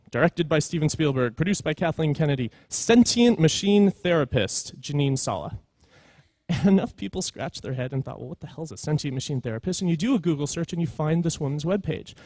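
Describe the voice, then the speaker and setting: Higher-pitched man giving an informal talk. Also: lively intonation, a little fast, a little mellow, no room sound. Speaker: conference speaker, storytelling professional.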